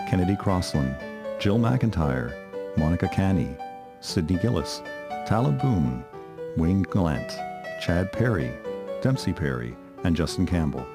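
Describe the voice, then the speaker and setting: Casting: deep-voiced male announcer, formal deliberate credit reading, instrumental music underneath. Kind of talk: scripted announcement. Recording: music behind voice.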